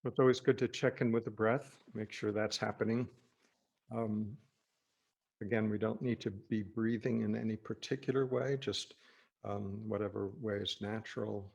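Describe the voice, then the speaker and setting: A man with a low, even voice and a noticeable fry guides a meditation. He speaks as a teacher thinking aloud, in short halting phrases with pauses. His manner is gentle and reassuring. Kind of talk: guided meditation, unscripted spoken instruction.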